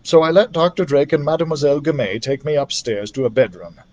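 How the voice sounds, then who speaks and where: A man reading a children's adventure story aloud as a library storyteller, in an expressive narrating style. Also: on the high side, fast, highs cut off.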